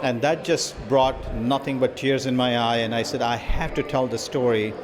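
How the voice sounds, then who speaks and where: A man's voice: mid-range voice, fairly even intonation, moderate pace. Earnest middle-aged man amid crowd. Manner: earnest, storytelling. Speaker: film producer interviewee. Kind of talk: interview. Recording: crowd noise behind. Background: crowd chatter.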